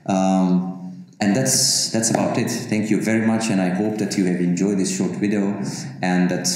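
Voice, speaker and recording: A man's voice: sits low, level tone, normal speed, a little mellow. A Bosnian-born man in his forties, a university professor with Bosnian as his first language, answering interview questions to camera with many fillers and restarts. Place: office with bookshelves, indoors. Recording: very echoey office room.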